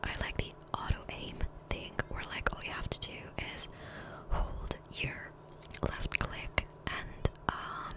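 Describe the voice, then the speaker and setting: A woman speaking in a soft, breathy whisper close to the microphone, rambling casually and self-deprecatingly as an ASMR gaming creator. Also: slow. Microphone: close USB condenser microphone.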